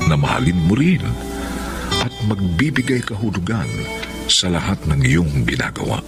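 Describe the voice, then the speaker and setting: A man with a Filipino accent narrating a radio drama in a measured, theatrical style. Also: low-pitched, sing-song, gravelly. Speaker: narrator and host introducing the story. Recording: music bed behind voice.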